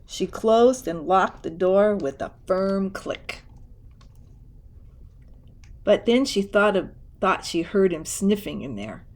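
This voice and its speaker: A woman reading a children's picture book aloud in a deliberate, expressive storytelling way, occasionally correcting herself. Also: mid-range voice.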